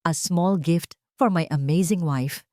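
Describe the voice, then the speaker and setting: A woman's voice: fairly low pitch, a little slow, darkish tone, no echo, close to an everyday voice. A devoted grown man, acted out by a woman narrator in an audio drama. He is polite and tender, mixes English with Tagalog, and sounds close and dry. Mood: grateful. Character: devoted, grateful adult son and successful husband.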